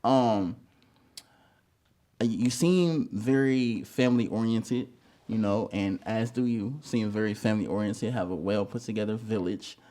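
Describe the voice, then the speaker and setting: Laid-back man hosting with low voice. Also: unhurried, warm tone. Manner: laid-back, slangy questions. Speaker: host. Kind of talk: casual interview.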